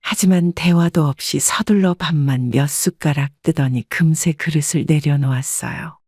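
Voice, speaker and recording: A woman calmly narrating a family story in the first person as a scripted audiobook. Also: low-pitched, normal speed, dry recording, studio quality. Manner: calm, even storytelling.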